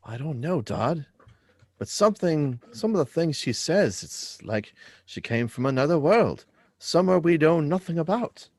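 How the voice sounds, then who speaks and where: A man's voice: a little high, animated, normal speed, no echo. A man playing a curious, persistent but courteous schoolboy in a live radio drama reading, earnest and questioning.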